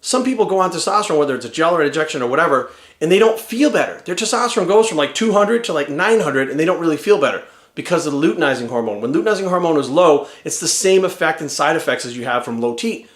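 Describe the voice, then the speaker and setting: A man in his forties giving an energetic, rambling testimonial to camera. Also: on the high side, fast, reverberant. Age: 40s.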